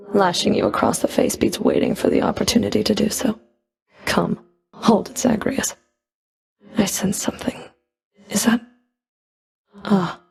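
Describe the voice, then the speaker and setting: A woman playing a cold, scornful Fury of the underworld in a video game, taunting with deliberate, contemptuous menace and a breathy edge. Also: mid-range voice, darkish tone. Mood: cold, sardonic. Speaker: video game villain voice actor. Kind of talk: video game combat voice lines.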